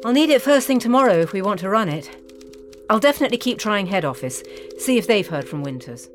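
An actress playing a brisk female news editor in an audio drama, with a clipped and decisive delivery. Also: mid-range voice, sing-song, faint music behind, natural voice. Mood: businesslike.

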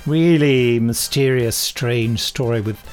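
A man's voice: medium pitch, takes it slowly, a little mellow, clean tone, soft background music. A British man in casual podcast chat, rambling and gleeful as he hosts. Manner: chatty, rambling, gleeful quoting. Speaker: podcast host and drama director.

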